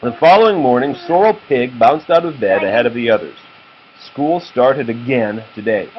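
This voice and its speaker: A man's voice: mid-range voice, lively intonation, normal speed. A man reading a children's story aloud as a father to his child, with a patient manner. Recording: slightly muffled, faint background noise.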